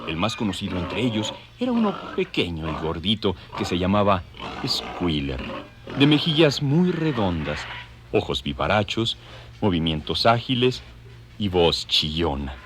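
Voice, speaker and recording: Male narrator, scripted dramatic storytelling; low, lively intonation, faint background noise.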